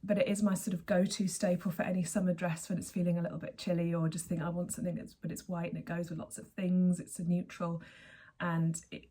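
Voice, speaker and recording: A hoarse, husky woman in her forties talks to camera with a mellow, low voice. She rambles cheerfully and restarts her sentences as she goes, like a host catching up with regular viewers. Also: little pitch movement, quick pace. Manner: chatty, rambling, with frequent self-corrections. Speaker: knitting podcast host. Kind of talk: casual chat to camera.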